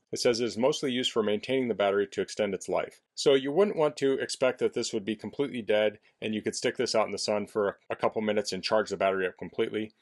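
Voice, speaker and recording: Low-voiced man giving a casual product demo. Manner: plainspoken, explanatory. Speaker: product reviewer. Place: indoors in a basement.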